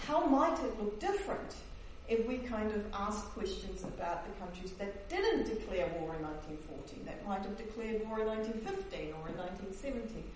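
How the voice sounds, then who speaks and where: A woman's voice: mid-range voice, darkish tone. A woman historian, Dutch-born and raised in New Zealand, presenting her book in an academic talk, hesitant with many fillers and restarts. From Netherlands, raised in New Zealand. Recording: echoey hall, distant mic, faint hiss.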